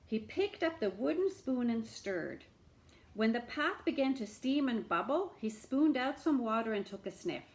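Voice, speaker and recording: Animated woman reading a children's story. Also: fairly high pitch, normal speed, poor audio. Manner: interactive asides to listeners.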